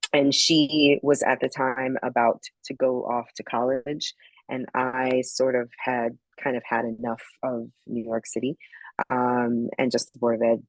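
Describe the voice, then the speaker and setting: A woman's voice: extremely low pitch, no echo, low-quality recording. A middle-aged woman from Barbados, a podcast guest telling her story in a reflective, casual way with many ums. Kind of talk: casual interview chat.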